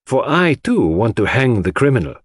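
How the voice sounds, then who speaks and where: A man voicing a grave, courteous foreign detective in an audiobook, speaking in a formal, measured, emphatic way. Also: mid-range voice, very animated, no echo. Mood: grave, earnest. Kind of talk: audiobook character voice.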